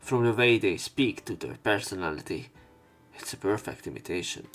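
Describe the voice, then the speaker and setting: Low-voiced man reading game story aloud. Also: expressive, moderate pace, fairly bright tone, faint music behind. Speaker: let's player. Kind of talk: reading visual novel text aloud with commentary.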